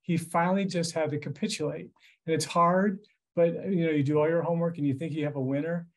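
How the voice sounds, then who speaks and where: A man in his fifties with an American accent and a fairly high-pitched voice. He explains things informally as a financial commentator, speaking conversationally over a video call from a room at home. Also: fairly even intonation, quick pace. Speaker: financial journalist interviewed as expert. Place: small furnished room at home.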